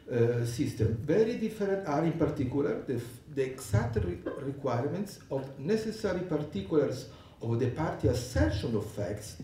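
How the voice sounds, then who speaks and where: An Italian-accented man talks through a legal comparison at a university panel, stumbling and restarting often. His pitch is fairly high and swings widely. The sound is roomy and picked up from a distance. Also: dark tone, slight background noise. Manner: halting, many restarts and fillers. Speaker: panel speaker on law. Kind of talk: academic conference talk. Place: lecture hall. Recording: echoey hall, distant mic.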